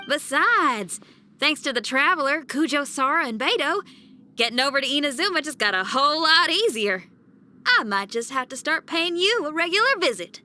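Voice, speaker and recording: A woman's voice: high voice, sharp tone, slight background noise, heavily acted. A woman playing a spirited, big-hearted young rock musician in a video game, folksy, punchy and upbeat. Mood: encouraging. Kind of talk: video game cutscene voice acting.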